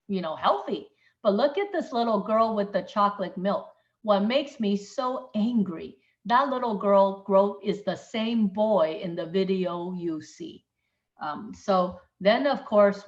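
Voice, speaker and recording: A woman's voice: mid-range voice, unhurried, highs cut off. A middle-aged woman from Taiwan, a doctor giving an informal webinar talk, speaking emphatically and checking in with her listeners. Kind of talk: informal lecture.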